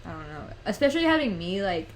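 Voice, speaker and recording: A woman's voice: medium pitch, big pitch swings, quick pace, slight background noise, poor audio. A woman of nineteen whose first language is Spanish, hosting a casual podcast chat and rambling from topic to topic with many "like"s and "literally"s. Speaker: podcast host.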